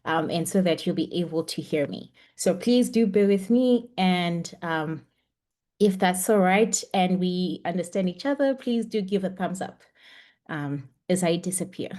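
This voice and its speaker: A woman's voice: lowish voice, expressive, unhurried, darkish tone. A young woman teaching a webinar over a video call, speaking in a chatty, encouraging way with frequent ums. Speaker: webinar instructor. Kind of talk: online lecture. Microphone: computer mic on a video call.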